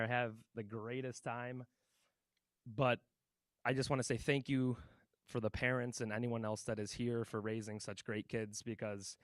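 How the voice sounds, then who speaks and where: Male assistant coach, mid pitch, dry sound, loud and grateful. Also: dry recording. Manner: grateful, rapid-fire, loud. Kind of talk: thank-you speech at ceremony.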